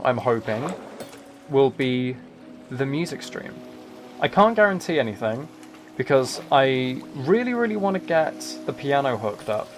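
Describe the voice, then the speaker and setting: A man chatting casually as he hosts a live game stream, rambling along and commenting on himself with a lightly wry manner. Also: mid-range voice. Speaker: streamer, host. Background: background music.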